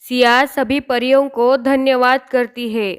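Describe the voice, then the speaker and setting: A woman's voice: high-pitched, flat delivery, takes it slowly, smooth. A woman reading a scripted fairy tale as the narrator of a children's story.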